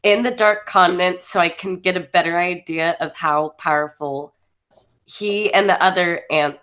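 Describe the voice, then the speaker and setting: A woman who is getting over a cold chats on an online call, giggling as she talks. Her voice sits a little low for a woman. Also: normal speed, fairly bright tone. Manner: giggly, chatty. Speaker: guest. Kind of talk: casual group discussion.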